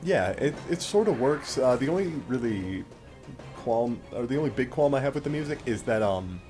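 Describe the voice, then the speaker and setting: A man talks casually through a video game as he plays, with faint music behind him. His pitch is middling, and he explains things at length with a dry, sardonic edge and the odd mild swear. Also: lively intonation, moderate pace, darkish tone. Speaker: Let's Play host and commentator. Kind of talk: casual gameplay commentary.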